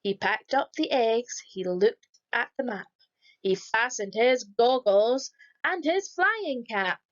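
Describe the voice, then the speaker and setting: A woman reading a rhyming children's picture book aloud in a lively, sing-song storytelling manner. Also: a little high, takes it slowly, highs cut off. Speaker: storybook reader.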